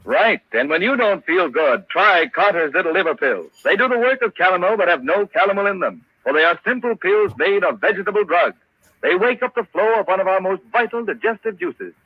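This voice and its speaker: An actor playing an earnest, formal radio announcer selling a product in emphatic 1940s style. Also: male voice, high voice, animated, fairly quick, breathy edge, no echo. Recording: dull old radio recording.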